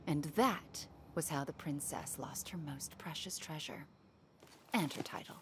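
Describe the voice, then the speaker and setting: A woman playing a tender, melancholy mother in a video game, speaking softly in a hushed, measured storytelling way. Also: low voice. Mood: hushed, wistful. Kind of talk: reading a bedtime story, dramatic game acting. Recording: background noise and room ambience.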